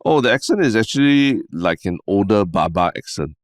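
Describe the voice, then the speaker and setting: A young man with a Singaporean accent co-hosting a casual podcast, relaxed and tagging his sentences with "lah" and "right?". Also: lowish voice, moderate pace, no room sound, studio quality. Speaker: podcast co-host.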